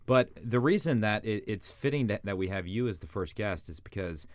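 Casual low-voiced man hosting a podcast. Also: moderate pace. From Midwest. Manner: relaxed, joking, with ums and uhs. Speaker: podcast host, congressman. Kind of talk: casual interview.

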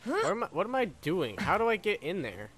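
A man's voice: high voice, wildly swooping pitch, fairly quick, a bit bright, breathy edge. A man giving casual live gameplay commentary, muttering questions to himself and swearing mildly. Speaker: gaming YouTuber. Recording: faint game sound behind commentary. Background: video game sound.

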